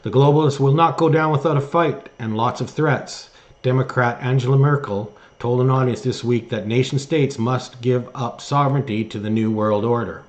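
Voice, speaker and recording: A man hosting an online news show, giving opinion commentary and reading articles aloud in a halting way with emphatic stress. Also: medium pitch. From Canada.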